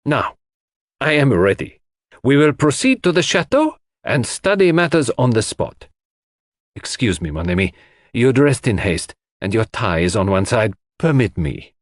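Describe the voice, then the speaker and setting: A man voicing a fussy, theatrical middle-aged detective in an audiobook, emphatic and precise with dramatic pauses. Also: mid-range voice, big pitch swings, no echo, clean studio sound. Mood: brisk. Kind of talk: audiobook character acting.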